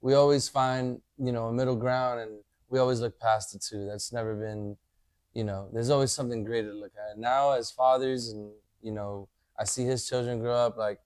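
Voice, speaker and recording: A man in his thirties, a podcast guest, talking in a relaxed way and often adding "you know". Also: medium pitch, moderate pace, smooth, no room sound. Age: 30s. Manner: laid-back, leans on "you know" a lot. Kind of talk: casual chat.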